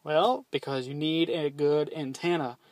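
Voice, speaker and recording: A man's voice: on the high side, fairly even intonation, a little slow, no room sound. A man rambling casually through a vlog from inside his parked SUV, swearing offhand and stumbling over his words. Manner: rambling, loose, swears casually, many ums and restarts. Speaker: vlogger reviewing his CB radio.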